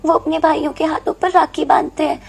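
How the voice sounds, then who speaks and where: A woman voicing a caring, resigned eighteen-year-old elder sister in a moral story, explaining things gently. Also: sits high, steady tone, normal speed, voice pushed up. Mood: sad, resigned.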